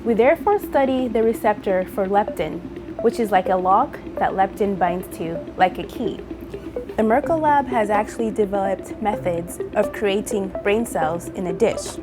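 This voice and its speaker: A young woman from Zambia talks through her research in a measured, clear scripted narration. Her voice sits at an ordinary middle pitch. Music plays underneath her. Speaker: PhD researcher.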